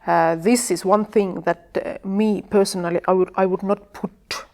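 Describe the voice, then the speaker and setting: A woman with an Estonian accent, speaking English as a second language. She is a sexual health educator being interviewed, and she talks haltingly, with many fillers as she searches for words. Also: medium pitch, fairly even intonation, gravelly, darkish tone. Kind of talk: podcast interview.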